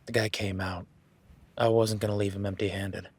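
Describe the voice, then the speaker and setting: A man's voice: fairly low pitch, level tone, moderate pace, darkish tone, slightly rough, dry recording. A man voicing an easygoing male cowboy ranch hand in an audio roleplay, talking in a laid-back, folksy way with casual swearing.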